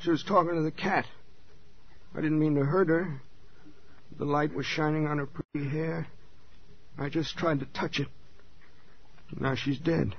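An actor playing a slow-witted, childlike handyman, halting and dazed, in a 1940s radio drama. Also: on the high side, steady tone, slightly rough. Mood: dazed, mournful. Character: George, slow-witted, childlike, harmless-seeming handyman in shock. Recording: muffled old radio, faint noise.

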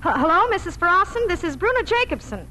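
Bright high-pitched farm wife. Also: female voice, sing-song, fast, full character voice, poor audio. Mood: brisk, practical. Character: practical, anxious farm wife and mother. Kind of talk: 1940s radio acting. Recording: 1940s radio with faint noise.

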